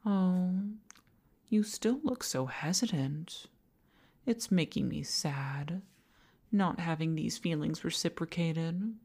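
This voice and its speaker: A woman playing an obsessive older female boss in an intimate audio roleplay, coaxing with condescending sweetness and slipping into breathy half-whispers. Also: low-pitched, animated, slow pace, darkish tone, full character voice. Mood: possessive, obsessive.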